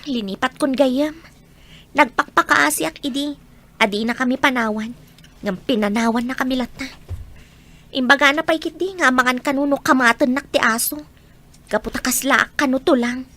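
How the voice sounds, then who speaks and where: A woman's voice: high voice, normal speed, pushed and bright. A woman with an Ilocano accent acting a hardworking, generous mother in an audio drama. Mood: frank, wistful. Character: hardworking, generous mother, once abandoned by her husband.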